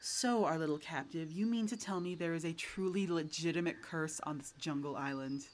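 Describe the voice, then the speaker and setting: A woman's voice: sits low, normal speed, a little hiss or hum, close to an everyday voice. A woman playing a hard-bitten, irritable poacher huntress in an audio roleplay, curt, sardonic and threatening. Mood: frustrated, skeptical.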